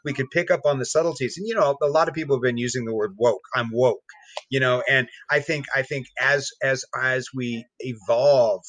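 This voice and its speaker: A man's voice: medium pitch, normal speed, a bit bright, slight background noise. A man talking reflectively and earnestly as a podcast guest, often repeating words as he feels out his point. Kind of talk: reflective conversational talk.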